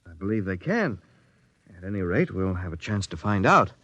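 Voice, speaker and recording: An earnest, obedient specialist on a space patrol in a fifties radio play. He explains things politely and carefully in a low voice. The aged recording is dry and narrow. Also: very animated, normal speed, a bit bright, no room sound, exaggerated voice. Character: earnest, deferential little male communications expert of crew. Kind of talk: 1950s radio drama acting. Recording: dry, band-limited 1950s radio recording.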